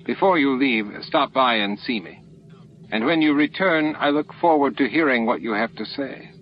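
A crafty old alien elder, voiced by a man in a sci-fi audiobook. He is courtly and persuasive, with a raised pitch. The recording is slightly muffled. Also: lively intonation, normal speed, close to an everyday voice. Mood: cheery.

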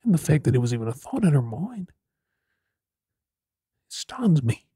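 An Australian man playing a troubled, remorseful young man in an ASMR audio drama, with a husky, breathy voice. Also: on the high side, very animated, a little slow, warm tone, dry recording, studio quality, exaggerated voice. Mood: remorseful, hushed.

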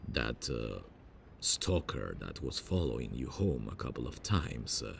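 A man acting a very deep-voiced, hesitant big brother. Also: sing-song, vocal fry, slight background noise, exaggerated voice. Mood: worried, caring. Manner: hesitant, halting with many pauses. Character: worried, protective big brother leaving a voicemail. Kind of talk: ASMR audio roleplay.

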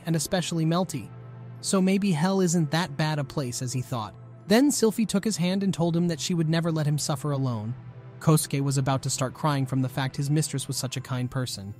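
A man with a somewhat high voice narrates a scripted manga recap. He tells the story in the third person as a recap narrator. Music plays behind his voice. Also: a little mellow. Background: background music.

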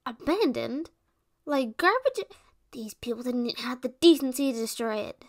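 A woman's voice: high-pitched, animated, unhurried. A woman playing a protective, playful resurrected witch in an ASMR roleplay, speaking in a sweet, theatrical way. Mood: indignant.

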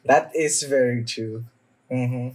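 Young male anime reactor, slightly sick, slow and hesitant, casual commentary with a mid-pitched voice. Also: steady tone, poor audio. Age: young adult. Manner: halting, hesitant delivery. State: somewhat sick with a cough. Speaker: anime reaction video host. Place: small indoor room at home.

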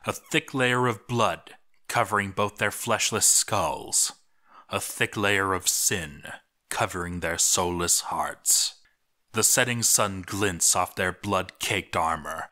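A man narrates a scripted tale in a fairly low, bright voice. His delivery is theatrical and deliberate, leaning on dramatic pauses as a storyteller would. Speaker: narrator of a video series. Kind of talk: dramatic scripted story narration, reading a game chronicle aloud.

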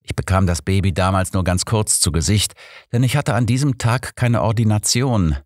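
A man's voice: lowish voice, normal speed, no room sound, studio quality, pitched above the performer's own voice, close to an everyday voice. A man voicing a courteous, sympathetic village doctor in an audiobook, polite and explanatory. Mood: earnest. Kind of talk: audiobook character dialogue.

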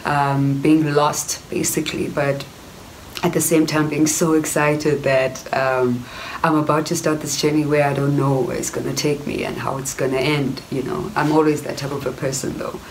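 A woman's voice: sits low, little pitch movement, normal speed, slight background noise, low-quality recording. A young South African woman with a South African accent, recording herself indoors and telling her story in a reflective, casual way, often saying "you know". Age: young adult. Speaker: actress. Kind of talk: casual personal storytelling to camera. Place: indoor room before wooden cupboards. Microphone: camera at close range.

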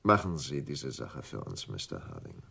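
An actor playing a smooth, scheming English stockbroker in a German radio crime drama, speaking in a deliberate, condescending way. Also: male voice, very deep voice, big pitch swings, sharp tone, full character voice. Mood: smug, businesslike.

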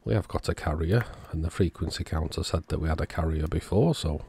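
A man's voice: extremely low pitch, brisk, warm tone, slight background noise. A man giving an informal, matter-of-fact narration of a hands-on repair, thinking aloud as he works. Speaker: electronics repair YouTuber.

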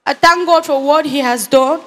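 A woman's voice: high voice, normal speed, a bit bright, a faint crowd, a little hiss or hum. A woman in a congregation praying aloud in a declamatory way into a handheld microphone in a large church hall. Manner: prayerful, declamatory. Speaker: congregant giving testimony.

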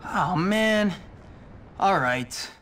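An actor voicing a surly, sarcastic teenage troublemaker at school in a video game, blunt and dismissive. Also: male voice, sits high, expressive, extremely slow, slight background noise, heavily acted. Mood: wary, sarcastic. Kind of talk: video game cutscene acting. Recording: dialogue under loud music.

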